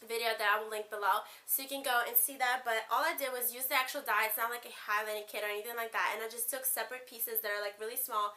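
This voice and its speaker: Young ukrainian-accented woman vlogging, kinda high bright voice, lots of like and um. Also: steady tone, fast, few pauses. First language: Spanish. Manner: chatty, rambling, lots of "like" and "um". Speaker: beauty vlogger. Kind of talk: casual chat to camera.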